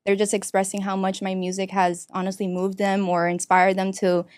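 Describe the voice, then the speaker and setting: A woman's voice: mid-range voice, completely flat delivery, moderate pace, darkish tone, smooth, dry recording. A young woman from Miami, a singer-songwriter guest, answering interview questions casually in a studio with many fillers and restarts. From Miami, United States. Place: podcast studio.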